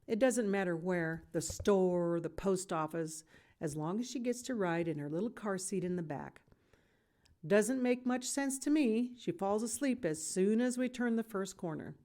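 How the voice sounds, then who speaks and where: A woman with a slightly low voice reads a children's novel aloud. Her delivery is expressive storybook narration.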